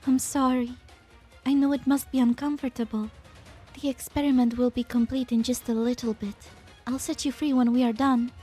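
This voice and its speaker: A woman voicing a compassionate, idealistic scientist who is gentle yet unyielding, in an earnest amateur fan dub. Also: sits high, level tone, moderate pace, soft background music, close to an everyday voice. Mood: gentle, apologetic.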